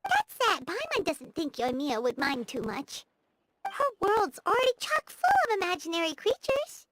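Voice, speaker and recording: A super high squeaky little fairy sidekick, childlike and chirpy, swoopy intonation, anime game. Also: female voice, wildly swooping pitch, normal speed, noisy background, heavily acted. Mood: musing, regretful. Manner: refers to self in third person, chirpy. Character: tiny floating fairy girl companion, childlike, cheerful. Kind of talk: anime-style video game voice acting. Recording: music playing behind the voice.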